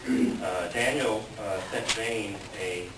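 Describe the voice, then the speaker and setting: A man introducing a staged play reading as announcer, reading aloud and speaking in a halting way. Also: fairly low pitch. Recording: echoey room, distant mic, clear noise.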